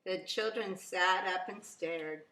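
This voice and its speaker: A woman reading a picture book aloud to young children as a teacher, very expressively, with drawn-out emphasis. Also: on the low side, bright, forceful tone. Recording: roomy, echoing sound.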